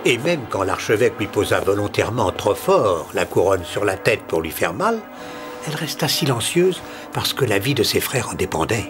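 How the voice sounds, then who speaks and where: A man's voice: lowish voice, big pitch swings, moderate pace. A man narrating a fairy tale as a storyteller, in a scripted reading. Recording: music behind the narration.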